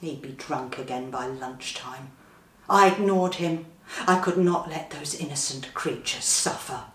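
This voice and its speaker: A woman's voice: a bass voice, a little slow, fairly bright tone. A British woman reading a comic first-person story in an earnest, self-important, theatrical manner. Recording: roomy, slightly distant microphone.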